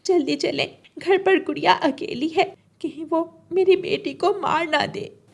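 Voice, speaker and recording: A kind mother sparrow voiced by a woman in a children's cartoon. She has a very high voice and an earnest, protective way of speaking. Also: measured pace, vocal fry, exaggerated voice. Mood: worried.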